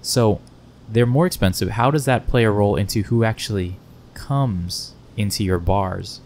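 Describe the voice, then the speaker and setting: Low-voiced man hosting a podcast. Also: moderate pace, a little mellow. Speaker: podcast host. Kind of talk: casual podcast interviewing. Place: wood-panelled bar lounge, indoors. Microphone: close podcast microphone on stand. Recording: low rumble and faint room noise.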